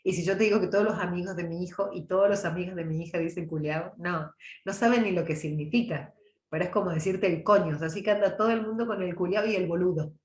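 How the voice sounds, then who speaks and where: A middle-aged woman with a mild Córdoba accent teaches a public speaking class in a relaxed, chatty way. Her voice sits fairly low. It comes over a video call with noticeable room echo. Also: fast. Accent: mild Córdoba Argentine. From Córdoba, Argentina. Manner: chatty, warm, answers chat questions by name. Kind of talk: online class, casual lecture.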